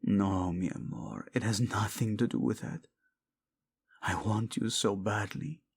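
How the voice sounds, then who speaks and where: A husky, breathy prince in love , acted by a man, speaking at a slow, deliberate pace. He is fiercely protective and burdened by guilt, pleading with intense tenderness in a romantic audio drama and often falling toward a whisper. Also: lowish voice, expressive, heavily acted. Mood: tender, restrained. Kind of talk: romantic audio roleplay acting.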